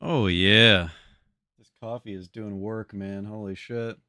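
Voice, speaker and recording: A lower-voiced man chatting on a live stream in a loose, slangy way, swearing freely as he greets viewers. His voice has a slightly congested edge, and the sound is dry and close with no room echo. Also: fairly even intonation, takes it slowly, pushed and bright. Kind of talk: casual live-stream chat while gaming.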